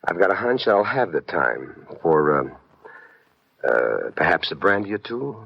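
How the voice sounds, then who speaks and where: An actor playing a wry, smooth, hard-boiled American private detective in a 1950s radio drama, with slangy noir delivery. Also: male voice, deep voice, big pitch swings, measured pace, no room sound, exaggerated voice. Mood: smooth, flirtatious. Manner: wry, slangy, second-person noir narration. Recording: dull vintage radio recording, music underneath.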